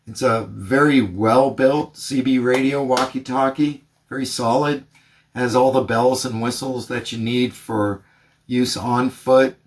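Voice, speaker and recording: A man doing radio test calls and product review narration in a plain, measured way. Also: medium pitch. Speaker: host of a radio review channel.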